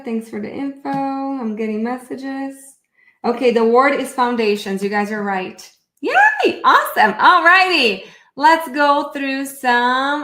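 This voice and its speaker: A woman with a Brazilian accent talks into a computer mic on a video call, in a fairly high voice and an unhurried, chatty way. She sounds upbeat and thinks aloud as she goes. The room around her is echoey. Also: sharp tone. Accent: Brazilian Portuguese. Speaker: therapy educator hosting a live webinar. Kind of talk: casual live online presentation.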